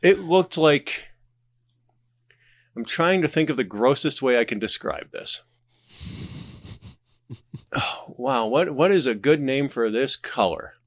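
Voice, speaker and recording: A man's voice: medium pitch, lively intonation, slow pace, long pauses, a bit bright, no room sound, studio quality. A man with a smoker's voice chatting casually as a podcast guest, wry and self-deprecating, given to rambling asides. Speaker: podcast guest, audio drama creator. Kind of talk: casual conversational interview.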